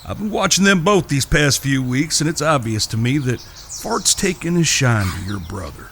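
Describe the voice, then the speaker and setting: A man's voice: mid-range voice, sing-song, gravelly, fairly bright tone, a little hiss or hum. A man with an American accent playing an earnest, polite cowboy peacemaker in a Western audio drama. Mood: reassuring. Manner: polite, measured, folksy. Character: earnest, courteous cowboy, calm peacemaking brother.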